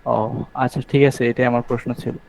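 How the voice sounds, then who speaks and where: A man asking a spontaneous question as an online attendee, halting and rephrasing, in muffled call audio. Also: medium pitch, flat delivery, gravelly, a little mellow.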